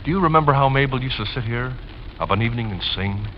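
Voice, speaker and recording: A man playing a gentle, wistful, polite widower obsessed with recreating his old home, in 1940s radio drama style. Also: mid-range voice, expressive, fast, lightly acted. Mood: earnest nostalgia. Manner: polite, earnest, nostalgic. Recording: dull, noisy old radio recording.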